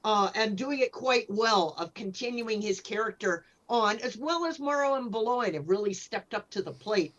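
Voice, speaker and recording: A woman explaining things as an expert panelist in a casual discussion, thoughtful and somewhat halting, on slightly lo-fi audio. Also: mid-range voice, animated, fairly bright tone. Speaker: panelist and author.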